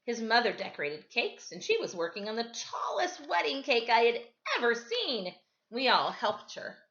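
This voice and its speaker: A woman reading a children's story aloud in an animated, expressive way. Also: a little high, normal speed, highs cut off. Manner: animated, dramatizes dialogue. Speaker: storybook reader, teacher.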